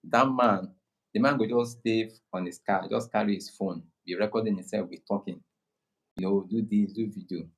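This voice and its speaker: A man from Nigeria with a Nigerian accent, speaking slowly and calmly in casual conversation, often restarting his sentences. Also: fairly low pitch, rough recording. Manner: slow, unhurried, many restarts. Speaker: guest creator.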